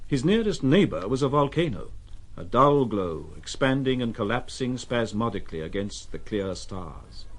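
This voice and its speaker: A man narrating an audio drama in a measured, deliberate and dramatic literary storytelling style. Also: medium pitch.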